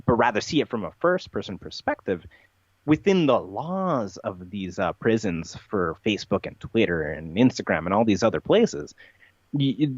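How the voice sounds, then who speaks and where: A man talking as an interview guest, giving animated, emphatic, rambling explanations. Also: fairly low pitch, normal speed, slightly rough, no room sound. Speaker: podcast guest being interviewed. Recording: dull, lo-fi, dry sound.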